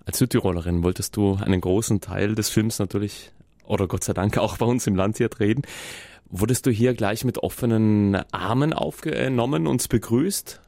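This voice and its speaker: A man interviewing a guest, asking calm questions and giving brief backchannels. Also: fairly low pitch, moderate pace, no echo, clean studio sound.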